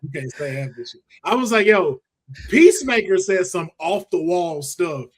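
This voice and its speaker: American man, podcast host, slightly high-pitched, slangy, profane, animated, casual chat. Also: moderate pace. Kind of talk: casual podcast chat about movies and games.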